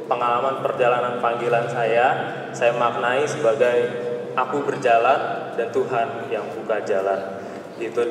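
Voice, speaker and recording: Young man, monotone, reflective and hesitant, distant mic, big echoey church, faint ambience. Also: mid-range voice, slight background noise. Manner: reflective, halting, with fillers. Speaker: seminarian. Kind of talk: personal vocation testimony at Mass. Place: large church.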